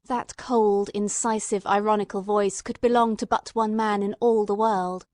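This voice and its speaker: A woman's voice: medium pitch, fairly even intonation, moderate pace, darkish tone, no echo, studio quality. A woman reading scripted first-person literary narration as an audiobook narrator.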